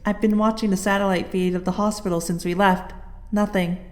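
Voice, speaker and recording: A woman playing a calm, dutiful teenage heroine in an audio drama, measured and matter-of-fact. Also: mid-range voice, lightly acted. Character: calm, dutiful teenage girl hero giving updates.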